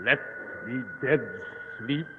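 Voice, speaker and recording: A man's voice: medium pitch, slow pace, in a large hall, lightly acted. A man in a 1940s radio drama playing a menacing, disembodied guardian of an ancient tomb, giving solemn archaic warnings. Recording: hollow, narrow-band old radio with hiss.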